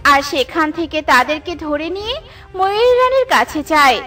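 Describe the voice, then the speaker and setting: A woman narrates a children's tale in an extremely high, bright voice. The delivery is scripted storybook narration, with music playing behind her. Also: sing-song, normal speed.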